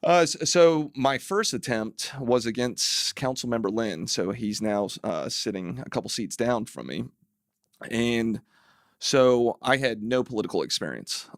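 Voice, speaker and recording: A man's voice: mid-range voice, animated, unhurried, no echo, studio quality. A man, a city council member, speaking as a podcast guest in a wry, analytical way with many fillers. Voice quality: gravelly. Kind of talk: casual interview chat.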